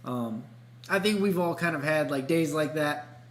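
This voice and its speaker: A man's voice: a little high, measured pace. Easygoing man in an echoey, humming room. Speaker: podcast co-host. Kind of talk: casual conversational storytelling. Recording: echoey room with hum and noise.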